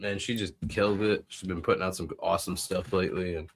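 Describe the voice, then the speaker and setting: A man's voice: low voice, fairly even intonation, a little fast, vocal fry. A man co-hosting a podcast and talking casually. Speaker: podcast co-host. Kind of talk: casual chat.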